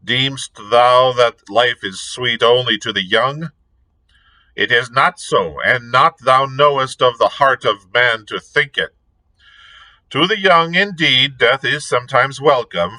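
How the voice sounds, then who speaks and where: A man voicing an ancient, cruel female witch, with a shrill falsetto and cackling laughter. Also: pushed and bright, no room sound, low-quality recording. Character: ancient shrieking hag witch, cruel and defiant.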